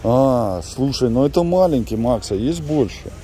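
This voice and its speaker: A man's voice: medium pitch, lively intonation, moderate pace, warm tone, a little hiss or hum. A middle-aged man chatting casually to viewers while filming in a large warehouse store. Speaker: vlogger. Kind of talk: casual vlog chat while shopping. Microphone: handheld camera.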